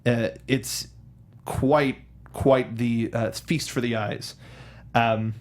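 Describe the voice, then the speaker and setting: A man co-hosting a movie review show in a casual, animated way, throwing in mock outbursts. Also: medium pitch, drawn-out words. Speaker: co-host of a film review show. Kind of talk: casual film discussion.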